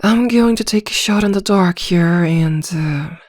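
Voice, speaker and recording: A composed, attentive therapist played by a woman speaks in a slightly low, soft voice for an ASMR roleplay. She is measured and reassuring, probing gently with small hesitations. The sound is very dry, with no room around it. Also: dry recording. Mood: analytical, probing.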